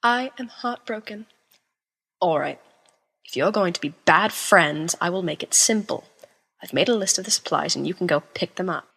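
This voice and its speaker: A woman's voice: on the low side, expressive, moderate pace, vocal fry, a bit bright, breathy edge, heavily acted. A woman voicing a flamboyant, attention-seeking seventeen-year-old boy with melodramatic, teasing delivery in an amateur audio drama. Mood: mock-hurt. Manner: melodramatic, playful whining.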